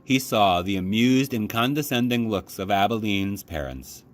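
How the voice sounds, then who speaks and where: A man reading a children's book aloud in an expressive storytelling style. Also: on the low side, moderate pace. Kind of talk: expressive read-aloud narration. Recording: faint background noise.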